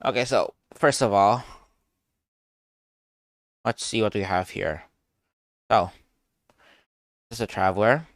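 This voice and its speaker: A man's voice: on the low side, takes it slowly, long pauses, no room sound. A man doing casual live reaction commentary as a game streamer, rambling and thinking aloud.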